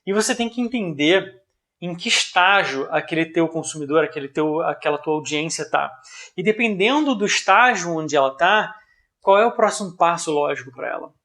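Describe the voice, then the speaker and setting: A man's voice: on the high side, expressive, normal speed, a bit bright. A man with a Brazilian accent giving an informal business explanation in a didactic, conversational way, often checking in with the listener. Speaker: presenter of a business analysis video.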